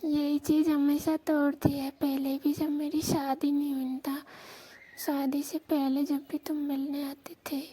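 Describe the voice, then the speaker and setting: A woman playing a shy, devoted young married Rajasthani village woman, speaking in a hushed voice to her secret lover on the phone. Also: level tone, measured pace. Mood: wistful, pleading. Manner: hushed, speaking low so neighbours will not hear. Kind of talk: intimate romantic phone conversation, acted as a prank call recording. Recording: noisy phone call recording.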